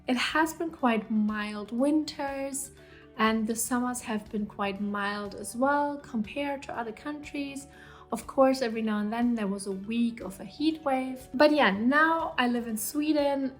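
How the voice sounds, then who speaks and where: A woman in her thirties from Germany with a German accent, chatting casually and upbeat to camera in a vlog, often saying "you know". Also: fairly high pitch. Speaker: vlogger.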